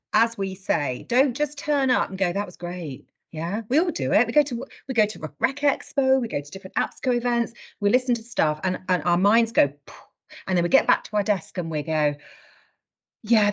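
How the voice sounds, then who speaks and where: A woman with a medium-pitched voice hosts an informal training webinar. Her delivery is chatty, slipping into imagined remarks as she talks. The sound is a little dull and lo-fi. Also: animated, moderate pace.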